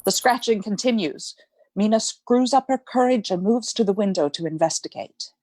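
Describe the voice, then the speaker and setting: A woman's voice: medium pitch, animated, normal speed, a little mellow, dry recording. A woman reading stage directions as the narrator of a scripted radio play.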